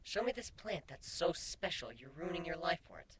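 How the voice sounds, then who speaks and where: A man's voice: fairly high pitch, lively intonation, normal speed, fairly bright tone, slightly rough, voice pushed up. A man voicing a sarcastic, bossy young female changeling pony with a curt, dry delivery in a fan fiction reading. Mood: curt, insistent.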